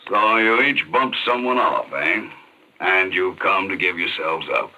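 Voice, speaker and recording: An actor in a 1940s radio drama playing a gruff, skeptical police chief, blunt and clipped in his talk. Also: deep voice, unhurried, sharp tone, faint music behind, heavily acted, poor audio. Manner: blunt, clipped, tough-talking. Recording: old radio recording.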